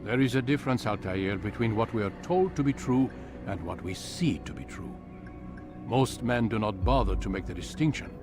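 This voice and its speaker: A low, measured voice of a commanding assassin master in a video game, played by an actor. He lectures in riddles and gives little away, with music behind him. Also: lively intonation, lightly acted. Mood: lecturing. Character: commanding, evasive old master of an assassin order. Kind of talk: video game cutscene dialogue.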